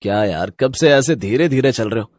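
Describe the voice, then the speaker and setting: A man's voice: mid-range voice, expressive, moderate pace, dry recording, clean studio sound, voice pushed down, natural voice. A man voicing a cocky skeptic who scoffs and boasts in a Hindi cartoon story. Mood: scoffing.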